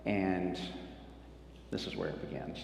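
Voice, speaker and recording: A man's voice: deep voice, unhurried, vocal fry, a little mellow, a little hiss or hum. A man, speaking to a church retreat group in a friendly, personal storytelling way. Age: 50s. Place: church hall. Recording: echoey hall, faint ambience.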